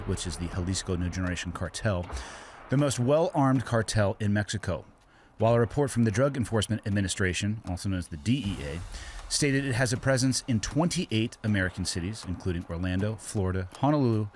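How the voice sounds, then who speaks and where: A man hosting a podcast, reading a steady, deliberate introduction and then asking questions in a relaxed way. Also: on the low side, a little hiss or hum. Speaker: podcast host.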